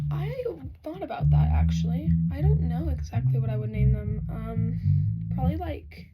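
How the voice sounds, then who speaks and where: Hoarse raspy young woman, bass thumping. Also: muffled recording. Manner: rambling, self-correcting, many "um"s, apologetic. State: hoarse, losing her voice. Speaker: pet vlogger answering questions. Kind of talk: casual Q&A vlog. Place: bedroom at home. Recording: home recording with loud bass music behind. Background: loud bass guitar playing.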